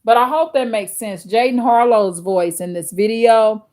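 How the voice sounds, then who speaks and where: A woman with a deep, authoritative voice hosting a livestream, reading viewer chat aloud and answering slowly with many fillers and restarts. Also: a little mellow. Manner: addresses viewers directly. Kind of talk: casual chat with live viewers.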